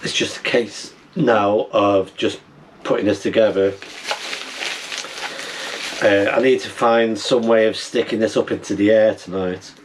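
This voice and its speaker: A middle-aged man chatting casually as he tinkers, halting and thinking aloud, recorded indoors on a camera mic. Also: lowish voice, fairly even intonation, noisy background. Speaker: amateur radio vlogger. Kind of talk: casual vlog chat while tinkering and unboxing. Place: small wooden cabin, indoors.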